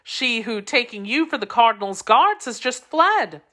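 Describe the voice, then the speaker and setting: A woman voicing a frantic, loving young woman who is dying, in a high breathless voice. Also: big pitch swings, a little slow, a bit bright. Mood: overjoyed, urgent.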